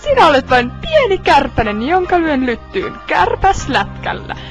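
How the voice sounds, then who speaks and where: Woman acting a high-pitched, taunting anime villainess. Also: sing-song, soft background music. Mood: mocking, menacing. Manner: melodramatic, taunting. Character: haughty evil anime villainess threatening to destroy everything. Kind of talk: amateur anime fan dub.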